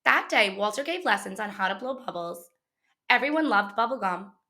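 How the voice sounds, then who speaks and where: A woman reading a children's picture book aloud as a teacher would, in an expressive storytelling manner. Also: mid-range voice, moderate pace.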